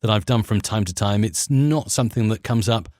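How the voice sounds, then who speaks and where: A man's voice: fairly low pitch, a little fast, a little mellow, no room sound, clean studio sound. A man speaking as an interview guest, explaining his craft casually and with enthusiasm, hesitating as he searches for words. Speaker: interview guest, audio producer.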